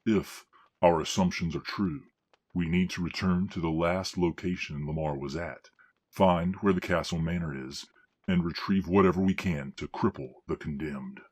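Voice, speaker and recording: A man playing a grave military strategist in a fantasy audio drama, with a husky voice and formal, deliberate delivery. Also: low voice, fairly even intonation, darkish tone, no room sound.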